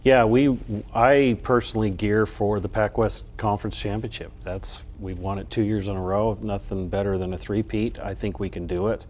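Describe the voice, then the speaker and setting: A man's voice: on the low side, fairly even intonation, moderate pace, few pauses, a little mellow. A man speaking as a coach in a casual sports interview. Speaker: golf coach, interview guest. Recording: faint background noise.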